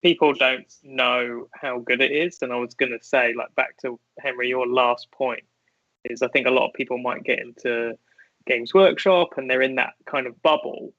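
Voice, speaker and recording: A man's voice: mid-range voice, fairly even intonation, moderate pace, pushed and bright, no echo. A man co-hosting a podcast, chatting casually and enthusiastically. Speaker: podcast co-host. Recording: dry lo-fi remote audio.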